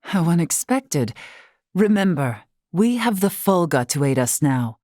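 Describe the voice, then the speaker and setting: A woman voicing a solemn, dutiful warrior stateswoman in a video game, speaking in formal, measured, old-fashioned phrasing. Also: fairly low pitch, big pitch swings, darkish tone, no room sound, studio quality. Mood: firm, encouraging. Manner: formal, measured, archaic diction. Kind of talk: scripted video game character dialogue.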